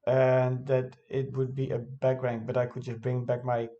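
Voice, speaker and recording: A man's voice: medium pitch, completely flat delivery, normal speed, highs cut off. A man who is not a native English speaker, casually thinking aloud as he reviews his own game, hesitant and often correcting himself.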